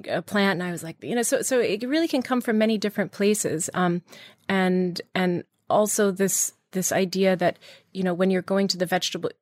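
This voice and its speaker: A woman's voice: medium pitch, brisk, a little mellow, dry recording, clean studio sound. A middle-aged woman from Iran, founder of a beauty brand, answering interview questions with eager enthusiasm and many restarts.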